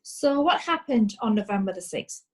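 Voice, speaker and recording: A woman playing a brisk, formal lawyer questioning witnesses in a comic courtroom play. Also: on the high side, lively intonation. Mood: formal, probing. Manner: formal, inquisitive.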